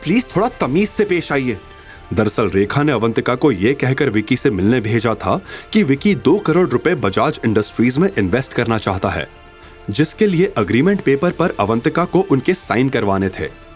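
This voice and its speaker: A man's voice: medium pitch, lively intonation, normal speed. A man narrating a scripted audio drama story in a dramatic style. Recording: faint music behind.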